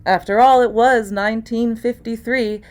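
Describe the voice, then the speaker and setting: A woman's voice: on the high side, steady tone, moderate pace, a little mellow. A woman actor reading scripted first-person mystery narration, as for an audiobook.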